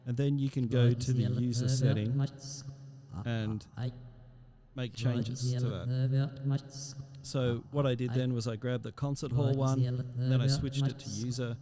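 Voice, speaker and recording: A man giving an informal, hands-on software tutorial, thinking aloud as he works through each step. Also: mid-range voice, creaky. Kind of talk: casual hands-on screen tutorial.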